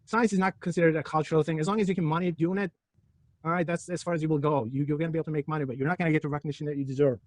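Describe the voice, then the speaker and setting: A man's voice: fairly high pitch, steady tone, racing, no echo. A middle-aged man from Turkey lecturing a physics class, joking and throwing rhetorical questions at his students.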